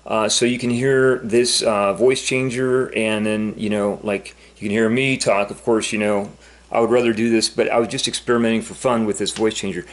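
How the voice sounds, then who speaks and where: A man talking casually and unscripted as a vlogger demonstrating something, in a halting, repetitive, rambling way. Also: lowish voice, steady tone. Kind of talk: casual unscripted explanation to camera.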